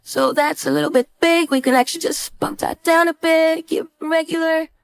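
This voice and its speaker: High-voiced woman teaching casually, dry sound. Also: fairly even intonation, moderate pace, vocal fry, no echo. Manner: informal, thinking aloud step by step. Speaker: design instructor. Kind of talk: casual screen-share software tutorial.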